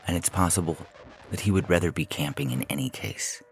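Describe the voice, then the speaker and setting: A man reading a scripted short story as narrator, in a measured, deliberate way. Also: sits low, slight background noise. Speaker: narrator and podcast host.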